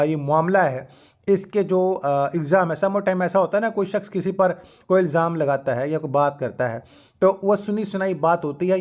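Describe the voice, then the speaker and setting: A man with a Pakistani accent and a fairly high voice delivers a legal lecture. He explains formally, moving between reading and commentary, and drops in English terms. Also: darkish tone. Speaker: law lecturer.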